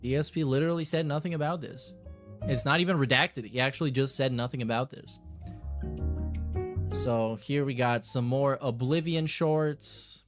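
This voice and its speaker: A man's voice: mid-range voice, moderate pace, soft background music. A man hosting a reaction stream, reading messages aloud and commenting casually in a sardonic, dismissive, sweary way.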